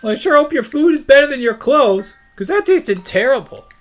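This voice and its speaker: A man's voice: extremely high pitch, big pitch swings, normal speed, faint music behind, pitched above the performer's own voice. A man voicing a mischievous young boy with a sarcastic, incredulous way of talking in a storybook reading. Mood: cheeky, baffled.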